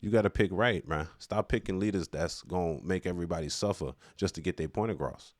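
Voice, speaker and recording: A man with a low-pitched voice talks off the cuff, giving his opinions in loose, slangy speech. He repeats phrases to press his point and speaks emphatically. The sound is dry, with hardly any room echo. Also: fairly quick. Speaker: commentator on current affairs. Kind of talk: casual unscripted opinion commentary.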